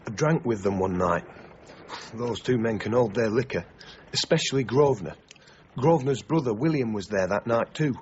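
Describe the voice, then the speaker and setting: An actor playing a plain-spoken, gossipy criminal informant in a period radio drama. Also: male voice, mid-range voice, expressive, moderate pace, darkish tone, highs cut off, a little hiss or hum, lightly acted. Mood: matter-of-fact. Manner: blunt, gossipy.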